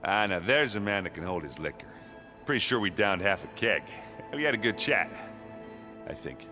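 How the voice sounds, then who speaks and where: An actor playing a gruff, good-hearted, self-deprecating soldier in a video game, talking in a hesitant, joking but sincere blokey way. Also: male voice, on the low side, big pitch swings, normal speed. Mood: jovial. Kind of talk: video game dialogue acting. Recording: prominent music behind the voice.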